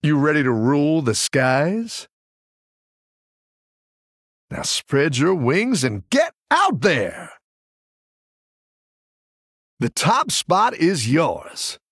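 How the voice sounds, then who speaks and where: An actor playing a friendly, encouraging tavern keeper who looks after little dragons in a video game, with a warm, folksy manner and a gravelly, husky voice. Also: male voice, fairly high pitch, sing-song, drawn-out words, pauses often, no room sound, clean studio sound, heavily acted. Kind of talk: game character voice lines.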